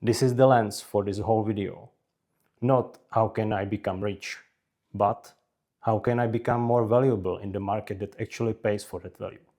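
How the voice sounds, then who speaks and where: A man from the Czech Republic with a Czech accent, narrating scripted career advice in measured, deliberate short phrases. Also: on the low side, fairly even intonation, warm tone. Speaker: career advice video creator.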